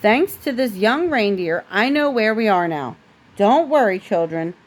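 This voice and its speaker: A woman's voice: mid-range voice, expressive, unhurried. Woman reading as blustering, hearty storybook Santa. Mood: reassuring. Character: jolly, blustering Santa, old man of a storybook.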